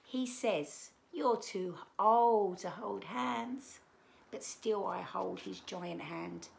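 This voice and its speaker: A woman in her thirties reading aloud to children as a storyteller, expressive, with drawn-out emphasis on key words. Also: medium pitch, gravelly. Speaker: children's book read-aloud presenter. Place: small living room, indoors.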